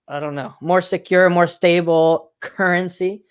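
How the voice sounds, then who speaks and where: A man's voice: high voice, fairly even intonation, a little slow, clear, resonant tone. A man from Mexico hosting a podcast interview, talking casually and repeating himself for emphasis. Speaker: podcast host.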